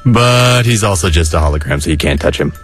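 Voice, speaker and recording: A man acting a deep, laid-back skeleton. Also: animated, fairly quick, slightly rough, soft background music. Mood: weary. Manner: laconic, casual, low-key. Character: laid-back, weary skeleton older brother, wry and caring. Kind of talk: animated comic dub acting.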